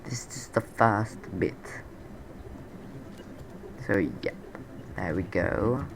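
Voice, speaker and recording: A man's voice: fairly low pitch, extremely slow, vocal fry, background noise. A man with a sore throat and a nasal voice, rambling through casual game commentary with many fillers and restarts. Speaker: gameplay commentator.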